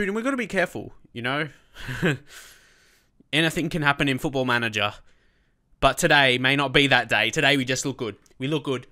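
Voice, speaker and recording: A man with a cold and a blocked nose, chatting casually as he commentates his own football management game, breaking into excited shouts at goals. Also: mid-range voice. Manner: chatty, many fillers, bursts of excitement at goals. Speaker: let's play host.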